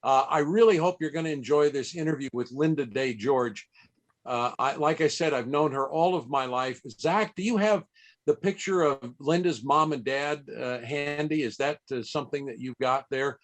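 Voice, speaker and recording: A middle-aged American man hosting an online event in a casual, chatty, halting way on a video call. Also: mid-range voice. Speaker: event host and interviewer.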